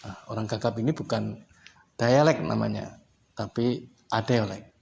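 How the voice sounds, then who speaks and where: A man from Indonesia explaining a language point in a casual teaching manner, unhurried and in short phrases. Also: on the low side, gravelly, darkish tone, slight background noise. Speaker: English teacher.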